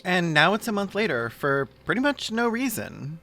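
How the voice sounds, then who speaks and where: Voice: mid-range voice, sing-song, normal speed, pushed and bright, soft background music. A host giving comedic reaction commentary on a film in a campy, sarcastic way.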